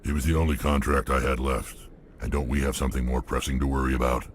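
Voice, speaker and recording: An actor playing a blunt alien mercenary in a video game, with a gravelly voice. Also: male voice, rumbling low, fast, a little hiss or hum. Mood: gruff. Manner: blunt, flat.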